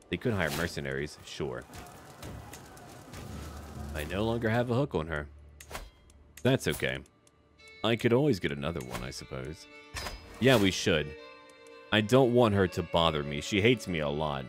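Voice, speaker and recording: A man giving casual game commentary with light role-play, musing aloud in short, clipped remarks. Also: low voice. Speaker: gaming YouTuber. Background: background music.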